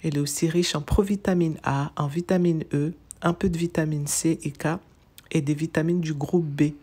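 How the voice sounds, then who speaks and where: Woman from Mayotte, very low voice, husky breathy tone, enthusiastic informative voice-over, lists facts. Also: fairly even intonation, normal speed, mellow. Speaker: wellness channel host.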